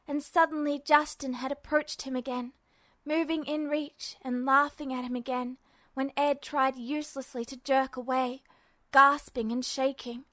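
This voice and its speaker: High-voiced woman narrating dramatic fiction. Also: fairly even intonation, a little slow, bright, forceful tone, dry recording. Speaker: amateur audiobook narrator.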